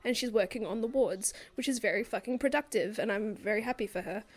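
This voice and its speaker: A young woman co-hosting a book podcast, chatting casually and swearing freely in quick joking banter. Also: a little high. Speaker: podcast co-host.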